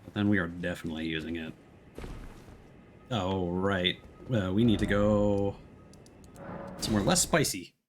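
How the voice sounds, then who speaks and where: A man's voice: deep voice, unhurried, long pauses, a little hiss or hum. A man giving casual live commentary on a video game as he chats with his viewers.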